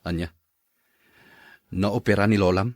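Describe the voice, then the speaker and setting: A man's voice: deep voice, steady tone, unhurried, darkish tone, no echo, clean studio sound. A man with an Ilocano accent playing a stern, resentful son and father in a radio drama. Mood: stern, questioning.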